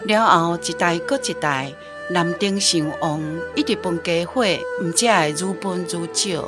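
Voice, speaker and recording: A woman's voice: lowish voice, measured pace, over music, lightly acted. A woman playing a villager with a Taiwanese accent who recounts family affairs in a radio drama. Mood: recounting, matter-of-fact.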